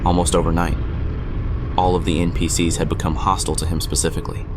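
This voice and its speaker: A man playing a wry gamer friend who narrates an eerie audio drama in a measured, suspenseful way. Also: sits low, flat delivery, music in the background, lightly acted. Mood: ominous, measured. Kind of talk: dramatic first-person storytelling.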